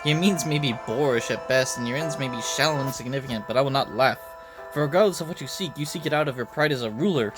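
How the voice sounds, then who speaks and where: A man's voice: mid-range voice, quick pace, over music, lightly acted. A man voicing an arrogant, perceptive king from a game, with pompous, formal speech. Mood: lordly.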